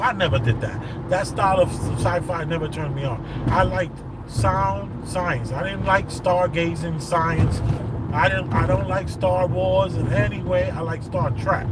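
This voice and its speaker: A middle-aged man chats from the driver's seat, emphatic and playful, his voice sitting a little high. Heavy car and traffic noise rumbles underneath everything he says. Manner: animated, joking, emphatic. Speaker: host. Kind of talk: casual chat while driving. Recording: loud road noise from car. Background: loud traffic and car noise.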